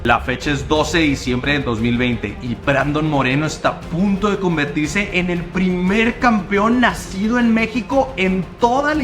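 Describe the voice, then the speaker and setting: A man narrating a documentary-style video in a dramatic storytelling voice. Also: on the high side. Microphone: voice-over. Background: background music.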